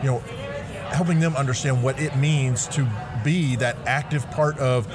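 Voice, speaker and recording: A man co-hosting an interview, building long points in deliberate, halting phrases. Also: medium pitch, steady tone, crowd noise. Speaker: podcast co-host. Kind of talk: conversational interview.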